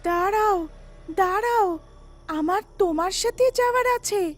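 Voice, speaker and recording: Woman playing a shrill, very high young woman. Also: measured pace, faint music behind. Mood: calling out. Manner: shrill, scolding. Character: outraged young woman whose hair is pulled.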